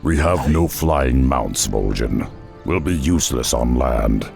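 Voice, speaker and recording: A man playing a huge, blunt, stoic half-ogre warrior in a fantasy video game, with a deep, growling, gravelly voice. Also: expressive, takes it slowly, a little mellow, soft background music, heavily acted. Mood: stoic, wary. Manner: blunt, terse.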